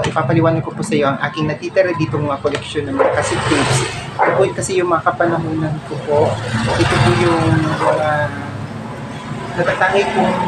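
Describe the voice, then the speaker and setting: A middle-aged Filipino man giving an informal show-and-tell to camera, speaking politely and haltingly in a small home room. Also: a little high. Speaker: vlogger showing a personal collection. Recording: very noisy home phone recording.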